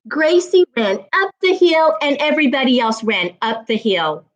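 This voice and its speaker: A woman reading aloud and teaching as a storytime reading coach, with deliberate, emphatic phrasing meant for young listeners. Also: high voice, animated. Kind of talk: expressive children's read-aloud and teaching.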